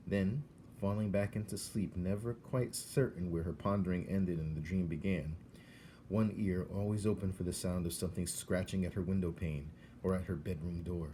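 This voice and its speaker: A man reading a children's novel aloud as narrator, in an expressive storytelling style. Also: deep voice, a little hiss or hum. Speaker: storybook reader.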